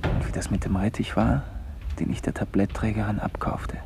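Low, gravelly musing man. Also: level tone, normal speed. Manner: musing, fragmentary inner monologue. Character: wandering, brooding man narrating his Munich outing. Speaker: radio play actor. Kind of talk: literary radio play monologue. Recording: noisy radio recording with clicks.

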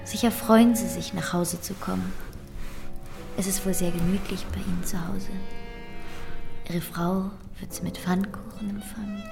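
A woman in a German radio play playing a cool, genteel baroness who teases in a soft, insinuating voice. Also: medium pitch, fairly even intonation, moderate pace, vocal fry, long pauses, over music, lightly acted. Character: cool, teasing aristocratic baroness, polite hostess.

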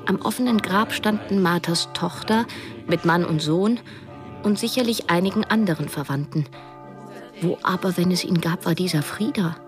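An actress playing a reflective, wistful narrator who tells her story in measured, literary radio drama style. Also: fairly low pitch, over music, lightly acted. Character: reflective woman narrator recalling events, wistful.

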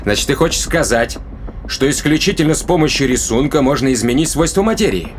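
A man's voice: medium pitch, lively intonation, a little fast, a little hiss or hum, close to an everyday voice. An actor playing a skeptical, rational man who interrogates in a matter-of-fact, questioning way in an audio drama. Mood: probing.

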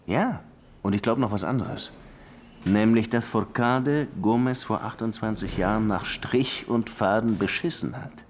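A man acting as a weary, sardonic police detective in a radio crime play. His voice sits low, and he questions people with dry irony. There is clear ambient noise behind him. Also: measured pace, lightly acted. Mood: assured. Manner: dry, ironic, probing.

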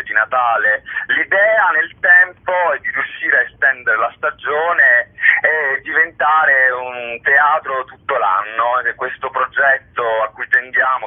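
Man, mid-pitched voice, articulate interviewee, explanatory answers, narrow telephone-like radio sound. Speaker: theatre festival artistic director being interviewed.

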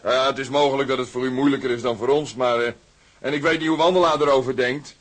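Husky, indignant young sailor played by a man. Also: mid-range voice, steady tone, quick pace, lightly acted. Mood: earnest. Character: proud, indignant young sailor urging protest. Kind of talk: radio drama acting.

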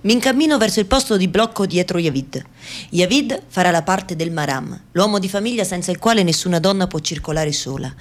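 A woman acting a fearful yet defiant Afghan woman in a radio theatre monologue, speaking in deliberate, repetitive short phrases. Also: on the low side, animated, lightly acted. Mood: wary, bitter. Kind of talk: dramatic first-person monologue, radio theatre.

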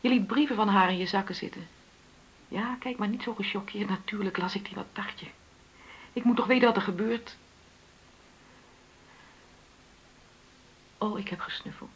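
An actress playing a hurt, argumentative middle-aged wife in a Dutch radio play, with a pointed, insistent way of questioning. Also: female voice, medium pitch, fairly quick, slightly rough, lightly acted, poor audio. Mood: bitter confession.

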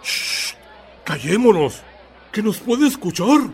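An actor plays a Chilean village fireman in a radio drama. His high voice moves with lively swings amid a clearly audible crowd. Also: slow pace, heavily acted. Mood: conspiratorial. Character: cautious village fireman plotting with neighbours. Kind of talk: Spanish-language radio theatre acting.

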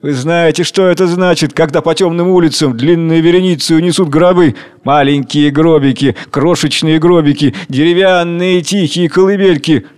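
A man voicing a furious, raving tyrant king of hunger, declaiming and commanding loudly. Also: on the high side, fairly even intonation, moderate pace, few pauses, clean tone, voice pushed up, lightly acted. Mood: fierce.

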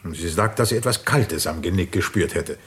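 A genteel middle-aged gentleman with a deep voice. He is polite, hesitant and a little flustered, a wealthy host in a radio crime play. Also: brisk. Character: flustered, well-to-do father hosting a grand party. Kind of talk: German radio crime drama acting.

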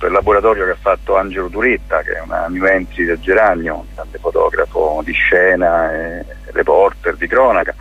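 A man speaks as a radio interview guest in a low, husky voice. He explains things informally and haltingly, searching for his words. The sound is dull and lo-fi. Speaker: interview guest, photography teacher and event organiser.

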